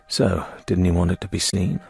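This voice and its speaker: Low, gravelly, sardonic British secret agent. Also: male voice, flat delivery, normal speed, darkish tone, exaggerated voice. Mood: inquisitive. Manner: dry, sardonic, understated. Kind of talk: radio drama acting.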